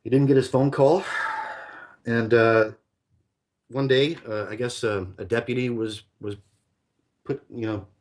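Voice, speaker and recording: A man in casual conversation, spinning a long story at an everyday pitch. He rambles, stacks up fillers and restarts, and keeps circling back over his own sentences. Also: steady tone, measured pace, pauses often.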